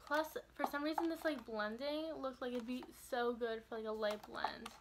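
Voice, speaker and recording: High-voiced young woman vlogging, chatty. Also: steady tone, moderate pace, background noise. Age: young adult. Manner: chatty, rambling, trailing off into asides. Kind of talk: casual chat to camera, showing shopping. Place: small bedroom, indoors.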